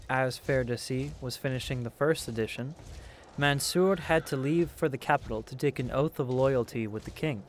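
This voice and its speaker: A man narrating a historical tale as a storyteller, with theatrical, measured delivery. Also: mid-range voice, a little hiss or hum. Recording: music under the voice. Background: musical instruments playing.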